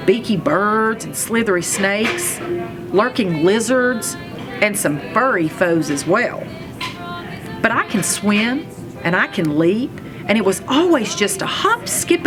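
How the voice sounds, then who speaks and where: Female storybook reader for young children: playful, very lively intonation, slow delivery. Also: mid-range voice. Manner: expressive, playful, acts out sounds and exclamations. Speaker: read-aloud reader, school superintendent. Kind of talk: animated children's storybook read-aloud.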